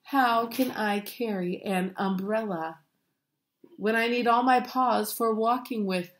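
Woman voicing a slow, menacing storybook tiger. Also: on the high side. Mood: scornful. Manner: drawn-out, deliberate menace. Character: boastful, greedy male tiger menacing a small boy.

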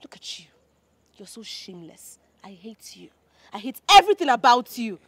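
A woman's voice: a little high, very animated, moderate pace, no echo. A woman playing a young woman deceived by a married man, scolding and accusing him. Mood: furious.

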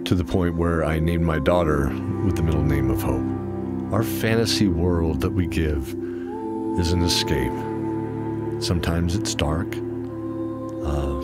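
A man with a very low voice speaks as host and storyteller of an audio drama. His delivery is measured and deliberate, and loud new-age music plays behind him. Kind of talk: sincere spoken introduction, then scripted fantasy narration.

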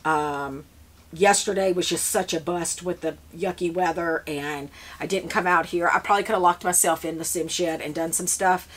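A woman's voice: lowish voice, fairly even intonation, normal speed, fairly bright tone. Chatty woman in her fifties. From Louisiana, US. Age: 50s. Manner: chatty, rambling, with many fillers and restarts; works with seedling trays while talking. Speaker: flower farmer. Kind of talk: casual chat to camera. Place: indoor seed-starting shed with grow shelves.

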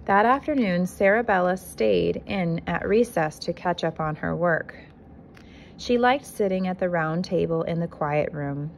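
A woman with a slightly low voice reads a children's picture book in an expressive, deliberate teacher's way. She is recorded indoors on a phone, with clear background noise around her voice. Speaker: kindergarten teacher reading aloud. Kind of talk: picture book read-aloud to young children. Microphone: handheld phone filming the book.

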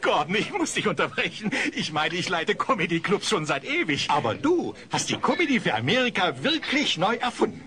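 An actor playing an effusive, flattering comedy club emcee in an audio drama. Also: male voice, high-pitched, a little fast, few pauses, over a noisy crowd. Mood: showy. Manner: showy, flattering. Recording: noisy cassette with loud audience.

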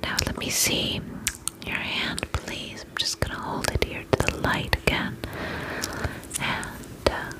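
A woman speaks entirely in a soft, breathy whisper. Her delivery is very slow and gentle, with soothing little repetitions. It sounds like an ASMR spa roleplay, full of personal attention and quiet reassurance. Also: low-quality recording.

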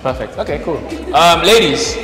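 A young man hosting a dating game show, teasing and quick, repeating words for effect, holding a handheld mic in a studio. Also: a little high, lively intonation. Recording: roomy studio echo with music.

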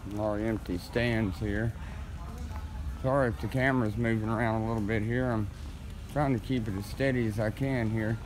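A man's voice: fairly low pitch, fairly even intonation, normal speed, noisy background. Easygoing man filming a market tour. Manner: easygoing, chatty, rambling. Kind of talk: casual walking tour narration. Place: large indoor flea market hall. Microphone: handheld phone camera.

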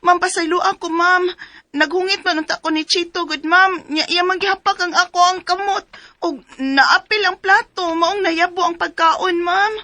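A woman's voice: extremely high pitch, fairly even intonation, moderate pace, pushed and bright. A woman in her twenties from Cebu province voicing a timid young helper who narrates her own story and pleads apologetically in a radio drama. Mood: pleading. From Cebu province, Philippines. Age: 20s. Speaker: letter-writer narrator and lead.

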